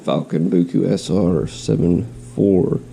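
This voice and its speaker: A man with a stuffy nose and a slight cough talks in a deep, gravelly voice with a very dark tone. He is nasal and rambling as he runs through his gear at home. Faint noise sits behind him. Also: takes it slowly, faint music behind. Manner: rambling, with restarts. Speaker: fishing vlogger. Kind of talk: casual show-and-tell. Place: home, in quarantine.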